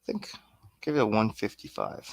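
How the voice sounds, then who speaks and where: A man's voice: lowish voice, wildly swooping pitch, moderate pace, no echo. A man chatting casually as a guest reviewer on a movie radio show, with dry, joking remarks. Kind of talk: casual chat, movie banter. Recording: clear background noise, room ambience.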